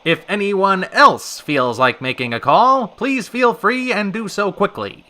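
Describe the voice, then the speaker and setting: A man acts out a smooth-talking host of a pulp-city radio hour. His male voice sits high and swings in lively arcs, with a sharp, ringing edge. He is wordy and polished, with dry sarcasm under the showmanship. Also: unhurried, full character voice. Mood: polished, wry. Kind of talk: old-time radio show hosting.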